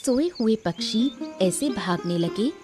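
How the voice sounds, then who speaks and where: A woman's voice: a little high, lively intonation, measured pace, over music. A woman reading scripted narration for a story, as its narrator.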